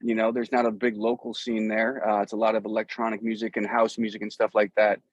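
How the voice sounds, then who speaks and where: A man's voice: on the low side, monotone, fast talker, dry recording, dull audio. Easygoing middle-aged man, rambling storyteller. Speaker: guest, musician. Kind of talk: casual interview chat. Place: outdoors.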